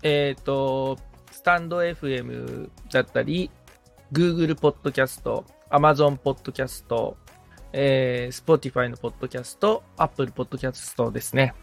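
A man's voice: medium pitch, steady tone, normal speed, vocal fry, faint music behind. A man chatting casually as the assistant on a podcast, answering the host's questions. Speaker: podcast assistant and guitar repairman. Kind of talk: casual interview chat.

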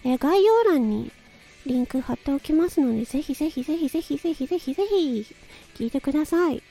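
A woman's voice: high voice, animated, fairly quick, gravelly, dark tone, soft background music. A woman hosting her own chatty audio show, speaking in an effusive, polite and emphatic way. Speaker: host of a personal audio show. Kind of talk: chatty solo audio broadcast, birthday tribute.

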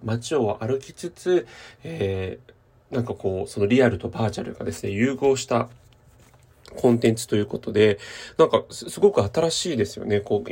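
Guy hosting a solo trend news podcast, explains stuff politely, mid pitched voice. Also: a little mellow. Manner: polite, explanatory presenter delivery. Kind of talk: semi-scripted solo news commentary.

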